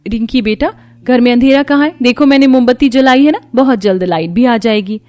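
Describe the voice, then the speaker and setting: A woman voicing a gentle, devout young wife in a cartoon story, speaking earnestly and pleadingly. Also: on the high side, fairly quick, no echo, close to an everyday voice. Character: poor devout young wife, kind and patient.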